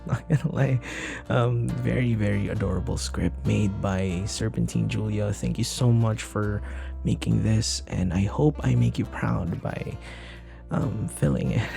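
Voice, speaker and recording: A man who hosts an audio channel, chatting casually and affectionately to his listeners in a halting way. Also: lowish voice, creaky. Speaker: voice actor and channel host. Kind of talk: casual outro chat to listeners.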